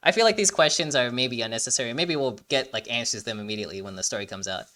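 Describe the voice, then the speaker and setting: Bright, fast-talking man, very animated. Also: mid-range voice. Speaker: reaction video host. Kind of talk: casual, rambling commentary on a game trailer.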